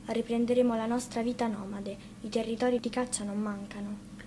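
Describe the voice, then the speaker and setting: A woman plays a proud rebel wolf from a storybook, the leader of his pack. The voice is calm and measured, with steady authority, recorded over clear background noise. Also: fairly high pitch, natural voice. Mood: resolute.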